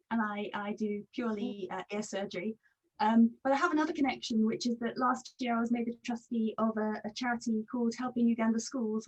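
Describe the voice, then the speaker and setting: A woman's voice: on the high side, darkish tone, rough recording. A woman ear surgeon introducing herself and her charity work in a video call meeting, friendly and earnest.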